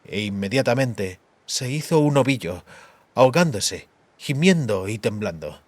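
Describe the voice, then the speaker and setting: A man narrating fiction as a dramatized audiobook, with deliberate, dramatic phrasing. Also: mid-range voice, big pitch swings, a little mellow, a little hiss or hum.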